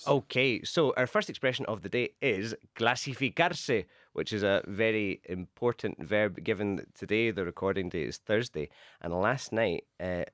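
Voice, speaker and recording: A man with a cold and a blocked-up nose, hosting a radio show that teaches English and explaining phrases slowly and patiently. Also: fairly low pitch, a bit bright. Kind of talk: bilingual radio lesson chat.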